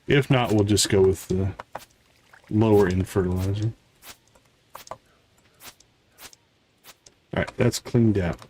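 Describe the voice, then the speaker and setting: A man's voice: on the low side, fairly even intonation, slow, pauses often, darkish tone, slight background noise. A man giving casual live game commentary as a streamer, thinking aloud and chatting to viewers.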